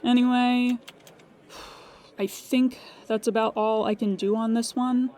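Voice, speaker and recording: A woman's voice: a little high, fairly even intonation, dark tone. A woman playing a dryly weary, procedural insurance claims agent in an improvised, conversational audio drama. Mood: weary, wry. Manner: procedural, measured, wry asides when musing.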